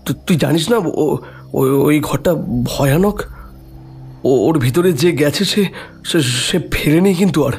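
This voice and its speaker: A man's voice: fairly high pitch, slow pace, pauses often, darkish tone, slight background noise. A man voicing a timid, nervous young man who stammers in an audio drama. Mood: frightened. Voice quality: stammering, shaky.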